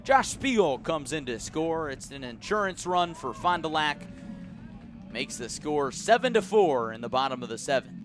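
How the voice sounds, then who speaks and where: A man with an American accent calling live baseball play-by-play on the radio, measured between pitches and rising on big plays. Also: sing-song, slight background noise.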